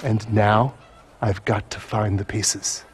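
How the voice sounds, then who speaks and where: A man's voice: lowish voice, level tone, takes it slowly, a little hiss or hum. A man playing an eccentric, paranoid UFO conspiracy nut in a video game, speaking in a jittery, earnest, pseudo-scientific manner. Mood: secretive. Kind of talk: video game cutscene dialogue.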